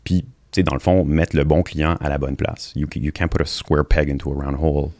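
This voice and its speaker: A man from Montreal with a Québécois French accent, a guest in an interview, speaking in an agreeable, halting way with many repeated words. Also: extremely low pitch, fairly even intonation, a little mellow, no room sound. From Montreal, Canada. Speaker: mortgage executive guest. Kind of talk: casual interview chat.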